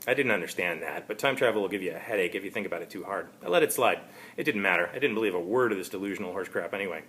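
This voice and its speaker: A man narrates a first-person story as an amateur reader. His delivery is halting and wry. His pitch varies widely, giving the sentences a lot of movement. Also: expressive, a bit bright. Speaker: author reading his own story.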